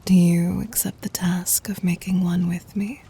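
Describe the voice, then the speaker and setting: A woman playing a regal, adoring demon queen in an intimate ASMR roleplay, whispery and breathy, speaking formally with tender command. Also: fairly low pitch, little pitch movement, measured pace, warm tone, a little hiss or hum. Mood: tender, devoted.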